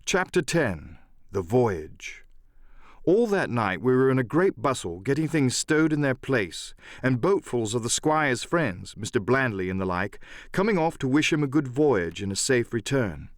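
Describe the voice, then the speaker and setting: A man's voice: mid-range voice, big pitch swings, measured pace, no echo, clean studio sound. A man narrating an adventure audiobook as a scripted dramatic reading with a theatrical storyteller's delivery.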